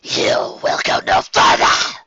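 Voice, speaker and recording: A narrator voicing a menacing cloaked monster with a harsh, commanding snarl and a voiceless rasp. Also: dry recording, lo-fi sound. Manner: commanding, drawn-out shouting.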